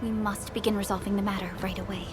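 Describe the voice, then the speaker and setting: A woman's voice: medium pitch, level tone, quick pace, over music, lightly acted. A woman playing an earnest, dutiful supervisor in a video game, with formal diction. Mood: earnest, serious.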